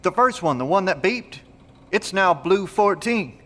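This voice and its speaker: Man acting as slow, bright-voiced folksy country companion. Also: high voice, animated, long reverb tail, heavily acted. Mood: uneasy. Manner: folksy, informal. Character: plainspoken country companion, male, curious, good-natured, practical. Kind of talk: audio drama acting.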